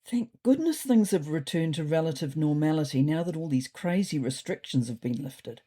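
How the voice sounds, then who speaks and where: A woman's voice: low-pitched, expressive, normal speed, warm tone, breathy edge. A woman voicing a thoughtful, slightly neurotic male ginger cat telling his diary in a dry, fretful way. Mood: dry, wry. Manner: dry, fretful, wordy understatement.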